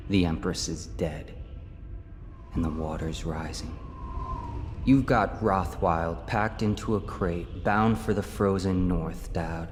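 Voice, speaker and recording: An actor playing a mysterious otherworldly male watcher who talks in a slow, cryptic, taunting way, in a game. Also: sits low, darkish tone. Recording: long reverb with game music.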